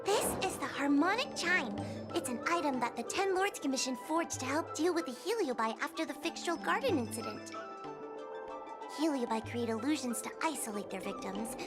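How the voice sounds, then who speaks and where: A woman voicing an earnest, chatty young woman in a game cutscene, explaining things with animated delivery over music. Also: sits high, moderate pace.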